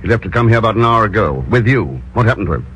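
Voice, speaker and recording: An actor playing a calm, wry secret agent with a dry, understated delivery, in a 1950s radio drama. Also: male voice, fairly low pitch, rapid-fire, slight background noise. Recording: dull lo-fi old radio recording.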